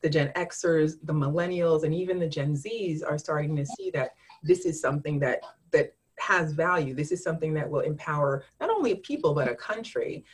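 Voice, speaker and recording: A woman's voice: low-pitched, normal speed, hardly any pauses, husky. A woman hosting a podcast interview, talking in a chatty way with lots of "you know" fillers. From United States, raised in Haitian family. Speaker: podcast host. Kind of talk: conversational interview.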